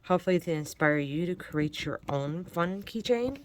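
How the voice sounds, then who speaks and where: A woman from Michigan chats through a crafting tutorial in a friendly, encouraging way. Her voice is lowish and a little congested from allergies. The sound is dry and close to the mic. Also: lively intonation, moderate pace, no echo. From Michigan, USA. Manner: chatty, encouraging, talks while working. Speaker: crafting channel host. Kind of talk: casual crafting tutorial chat.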